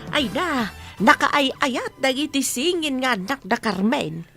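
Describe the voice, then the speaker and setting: A woman's voice: high-pitched, very animated, takes it slowly, bright, forceful tone, faint music behind, heavily acted. An actress playing a fretful village mother in an Ilocano radio drama. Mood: wistful. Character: fretful village mother worried about her grown child.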